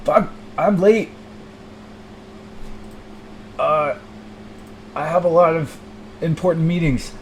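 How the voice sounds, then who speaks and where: A man's voice: fairly high pitch, slow pace, pauses often, slight background noise, rough recording. Man acting a frantic, stressed young businessman. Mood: rushed. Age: late twenties. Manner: abrupt, panicky, apologetic.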